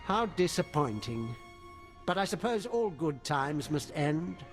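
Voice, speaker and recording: An actor playing a smug, theatrical Sith lord in a video game, with a mocking, pompous delivery. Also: male voice, a little high, very animated, slow pace, heavily acted. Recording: background music under the voice.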